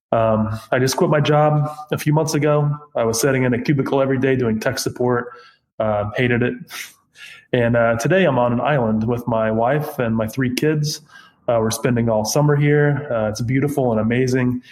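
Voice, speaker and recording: A man's voice: medium pitch, moderate pace, a little mellow, poor audio. A man from Missouri leaving a grateful voicemail for a podcast host, hesitant with many fillers and choked up with emotion. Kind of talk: recorded voicemail message.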